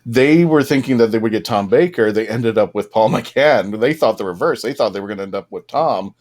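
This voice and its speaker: A man chatting enthusiastically as a podcast panelist, telling things in an animated way and acting out reactions. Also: lowish voice, a little fast. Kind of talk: enthusiastic fan panel chat.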